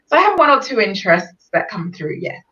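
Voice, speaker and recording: Bright-voiced woman on a dull, distant call line. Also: medium pitch, big pitch swings, brisk. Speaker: guest storyteller, founder.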